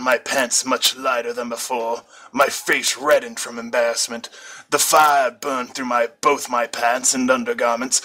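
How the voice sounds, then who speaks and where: A man's voice: medium pitch, bright, forceful tone, low-quality recording. A man reading fan fiction aloud as an amateur, now and then stumbling over words and correcting himself. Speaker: amateur story reader.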